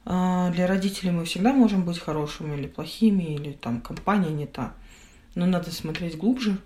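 A young woman giving a candid personal account as a podcast guest, speaking in halting, reflective phrases. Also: fairly low pitch, warm tone, slight background noise.

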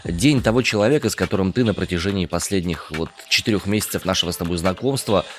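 A man's voice: sits low, quick pace, a little hiss or hum. A man from Siberia co-hosting a morning news podcast over a video call, chatty and teasing. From Siberia, Russia. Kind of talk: news podcast presenting and banter.

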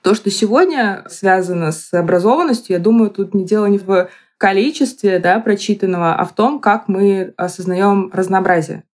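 A woman in her thirties giving reflective explanations in a podcast, picking her phrases haltingly and deliberately. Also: medium pitch, darkish tone. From Moscow, Russia.

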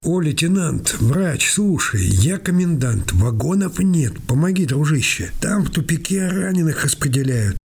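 A man acting as a harried station commandant in a wartime radio play. His voice is gravelly and swings in pitch as he coaxes and pleads urgently, like a major among comrades. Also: lively intonation, a little slow, hardly any pauses, darkish tone, full character voice. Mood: urgent pleading.

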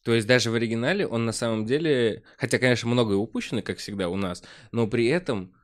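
A man's voice: medium pitch, fast. A man chatting casually as the host of a podcast. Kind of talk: casual chat.

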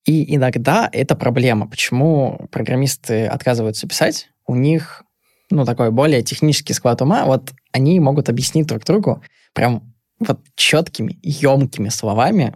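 A man's voice: mid-range voice, brisk, darkish tone, no room sound, clean studio sound. A man who is a guest on a casual podcast, talking in a plain and straightforward way and telling anecdotes. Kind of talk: casual chat.

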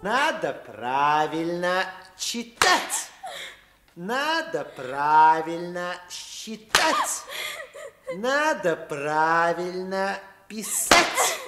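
A man's voice: sits high, wildly swooping pitch, very slow, full character voice. A man in a 1950s radio play acting a stern, pedantic schoolmaster who chants his words in singsong. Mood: stern chanting. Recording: echoey, loud music behind.

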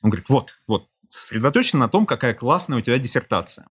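A man speaks as a podcast guest, with a husky, slightly breathy voice. He tells a long story, explains it step by step, and acts out the people he quotes. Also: mid-range voice, very animated, quick pace, fairly bright tone. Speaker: podcast guest, creative director. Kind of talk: relaxed interview storytelling.

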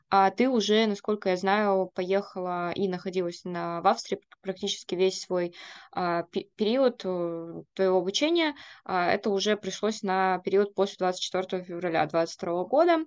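A woman hosting a podcast, sharing her own experience and then posing careful, hedging questions. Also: medium pitch. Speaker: podcast host. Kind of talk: interview questions.

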